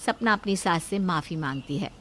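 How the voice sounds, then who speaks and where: A woman's voice: on the low side, moderate pace, fairly bright tone, slight background noise. A woman narrating a scripted moral story as a storyteller.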